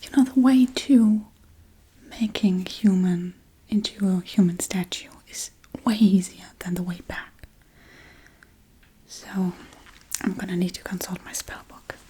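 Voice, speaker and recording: A woman playing a forgetful, darkly playful witch in a soft-spoken, whispery ASMR role-play, murmuring gently and absent-mindedly. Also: mid-range voice, steady tone, unhurried. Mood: amused, musing. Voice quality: breathy, whispery.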